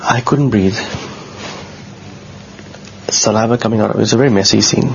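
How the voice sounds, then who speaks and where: Man, low bassy voice, earnest halting storyteller, muffled lo-fi recording. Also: creaky. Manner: earnest, halting, with many repeated words. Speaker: guest telling a personal story. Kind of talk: casual storytelling. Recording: dull lo-fi sound with faint noise.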